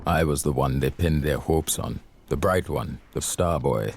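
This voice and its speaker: An actor narrating as an elderly man from Trinidad, recalling his colonial childhood in a measured, reflective voice-over. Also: extremely low pitch, a little hiss or hum. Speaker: narrator, older Ulric Cross. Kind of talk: scripted film voice-over narration. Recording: voice-over with loud film score.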